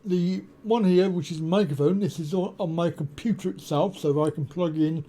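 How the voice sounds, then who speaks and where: A man hosting a tech tutorial, explaining a software setup step by step with frequent pauses and ums on a desktop USB mic at his home computer desk. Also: a little high. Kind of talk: screen-share software walkthrough. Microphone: desktop USB condenser mic.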